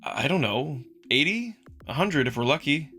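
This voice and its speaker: Curious, frank young man for a visual novel, male reader: everyday register, bright and tense tone over music. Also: a little high, expressive, moderate pace. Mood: frank, casual. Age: young adult.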